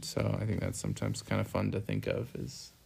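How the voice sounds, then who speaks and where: A middle-aged man casually explaining scripture in a family discussion, halting as he thinks aloud. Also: fairly low pitch, fairly even intonation, gravelly, a little mellow, slight background noise. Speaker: family scripture reading host.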